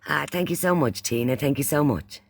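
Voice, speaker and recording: A man's voice: fairly high pitch, expressive, brisk, gravelly, husky. A man from Ireland with an Irish accent hosting a casual livestream chat, often repeating phrases and using pet names for those he addresses. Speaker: livestream host.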